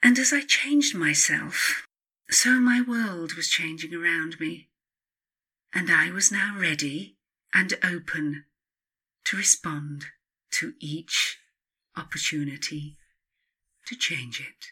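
A woman narrating a spiritual self-help book as its author, in a solemn, deliberate, incantatory way. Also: on the low side, sharp tone, slightly rough. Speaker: author and podcast host reading their own book.

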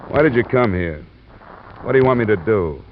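A man's voice: lowish voice, animated, fairly quick, a little hiss or hum, close to an everyday voice. An American man playing a cool, terse insurance investigator in vintage radio detective style, clipped and matter-of-fact. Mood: cool, probing. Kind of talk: 1940s-50s radio detective acting and narration. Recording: dull old radio recording with music.

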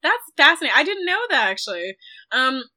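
A woman's voice: high-pitched, very animated, brisk, sharp tone. A woman speaking as a guest critic in a film discussion, thoughtful and careful, often qualifying her points as she goes. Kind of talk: reflective film discussion interview.

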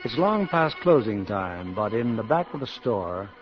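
A man's voice: lowish voice, sing-song, measured pace. A man giving dramatic scripted narration in the style of 1950s radio, as producer and narrator. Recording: muffled old radio broadcast with music. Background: music.

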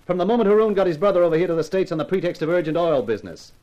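A man's voice: high voice, racing, darkish tone, dull audio, lightly acted. A man playing a hardboiled, sardonic private eye in a 1950s radio crime drama, with slangy, punchy delivery. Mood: bitter, sardonic. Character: wisecracking hardboiled American male private detective, weary and defiant. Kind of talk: 1950s radio crime drama acting with first-person narration.

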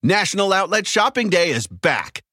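A man's voice: high voice, sing-song, normal speed, sharp tone. A man delivering an upbeat promotional voice-over as an advertisement announcer, close on a studio microphone.

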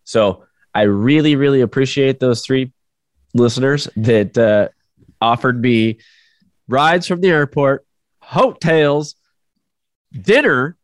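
A man's voice: mid-range voice, no room sound, studio quality. A man co-hosting a casual podcast, talking through a head cold in a joking, rambling way. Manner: joking, rambling, self-deprecating. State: stuffed up with a cold. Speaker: podcast co-host.

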